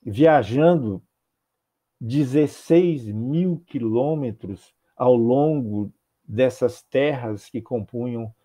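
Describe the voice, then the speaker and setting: An older man with a Brazilian accent delivers a spiritual talk over a video call, his voice mellow and warm. He speaks in measured, rhythmic phrases, piling up repeated clauses. The sound comes through a small earphone mic in a home office. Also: medium pitch. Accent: Brazilian Portuguese. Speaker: spiritist lecturer. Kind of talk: religious talk.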